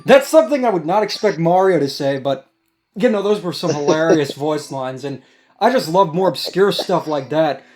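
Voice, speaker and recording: A man's voice: a little high, expressive, moderate pace, darkish tone. A man hosting a casual gaming discussion, talking in a conversational way.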